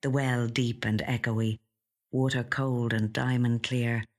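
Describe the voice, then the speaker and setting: An actor with a slightly husky, breathy voice reading a literary short story in a measured, hushed way. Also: mid-range voice, little pitch movement. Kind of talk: scripted literary narration.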